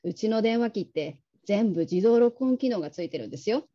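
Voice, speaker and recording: A considerate female manager played by an actress with an extremely soft, dark tone. She speaks in a gentle, measured, courteous way, as in a radio drama. The sound is slightly muffled. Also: mid-range voice, animated, natural voice. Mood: earnest, measured. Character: polite, caring, discreet female call-centre manager.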